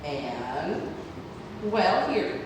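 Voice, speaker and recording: A woman's voice: deep voice, big pitch swings, extremely slow, full character voice. Woman as a kindly nurse, very echoey hall. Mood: chatty, kind. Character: kindly, chatty, generous nurse. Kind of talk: amateur stage play table read. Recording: distant echoey hall, noisy.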